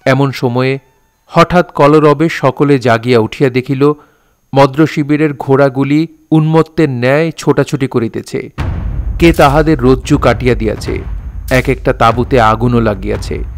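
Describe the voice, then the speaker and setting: A man's voice: mid-range voice, normal speed. A man narrating an audio drama in a formal, literary storytelling manner.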